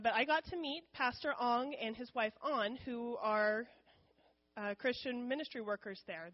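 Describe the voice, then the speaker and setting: A woman's voice: a little high, highs cut off. A woman giving an informal missions talk to her church in a candid, conversational way, with frequent ums. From United States.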